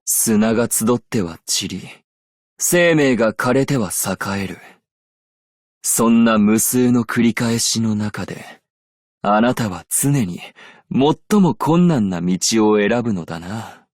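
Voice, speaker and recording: A composed, worldly desert merchant for a video game. He speaks slowly and formally, with shrewd dignity and measured phrasing. The recording is dry and close, with no room sound. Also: on the low side, expressive, a little mellow, no echo. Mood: solemn, cool. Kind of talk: Japanese game character voice lines.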